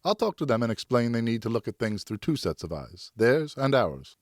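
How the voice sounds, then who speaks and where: A male narrator acts a weary French nobleman and father in a historical audiobook. He reasons earnestly and soothingly, with an animated rise and fall above the reader's usual low pitch. The sound is very dry. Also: brisk, dry recording, close to an everyday voice. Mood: reasoning. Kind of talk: audiobook character acting.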